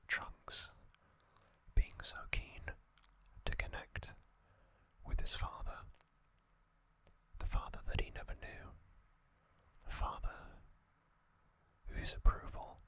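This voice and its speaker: An ASMR host speaking commentary in a breathy, unvoiced whisper, slowly and deliberately in short phrases with pauses. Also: sharp tone.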